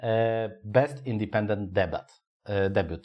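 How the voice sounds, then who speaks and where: A man with a low voice and a Polish accent chatting on a podcast in English, which is not his first language. He talks in halting stretches, filling gaps while he hunts for words. He swears freely as he goes. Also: highs cut off. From Poland. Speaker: podcast co-host. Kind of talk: casual podcast chat.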